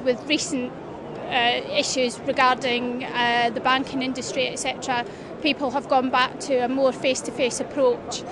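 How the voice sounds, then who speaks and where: High flat-voiced woman, hesitant. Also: moderate pace. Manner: hesitant, with fillers. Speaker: insurance broker. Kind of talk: vox pop interview answer. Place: busy indoor exhibition hall. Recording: background hall noise.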